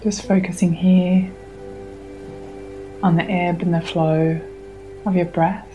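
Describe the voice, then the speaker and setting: Female meditation guide, soothing and unhurried, very flat intonation, clear music bed underneath. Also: lowish voice, dull audio. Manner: soothing, unhurried, gently instructing with long pauses.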